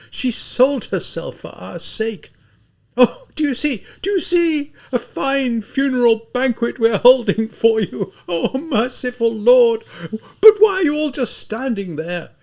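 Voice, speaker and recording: A man reading an audiobook, voicing a desperate consumptive woman who shouts hoarsely through her weeping. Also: extremely high pitch, animated, unhurried, pitched above the performer's own voice, exaggerated voice. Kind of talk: audiobook character voice.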